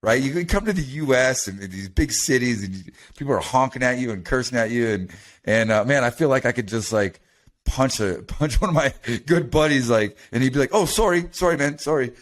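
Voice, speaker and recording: A man from the United States hosting a podcast, chatty and animated, with lots of restarts. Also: medium pitch, fairly quick. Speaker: podcast host. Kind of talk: casual interview talk.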